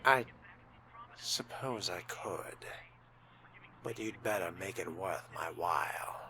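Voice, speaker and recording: A man role-playing a cool, courteous vampire fledgling in a video game, speaking in a measured, dry way with some breathiness. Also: sits low, lively intonation, bright, forceful tone, a little hiss or hum. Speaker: player voicing his vampire character.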